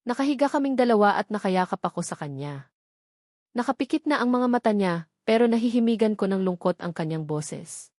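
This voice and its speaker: Filipino woman reading out a story, normal pitch, really dry sound. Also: lively intonation, fairly quick, darkish tone, no echo. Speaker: audiobook narrator. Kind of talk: scripted first-person story narration.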